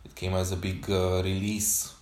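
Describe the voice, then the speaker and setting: A man whose first language is Romanian, talking unscripted on a solo podcast journal, hesitant with many pauses and fillers. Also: low-pitched, completely flat delivery, darkish tone, reverberant. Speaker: podcast host.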